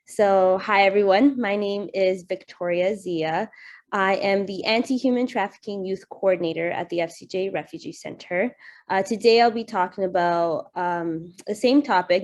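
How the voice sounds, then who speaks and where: A young woman in her twenties, presenting on a video call in an earnest, conversational way. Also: medium pitch. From Canada. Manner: earnest, conversational, personal storytelling. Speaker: youth program coordinator presenting. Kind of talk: webinar presentation.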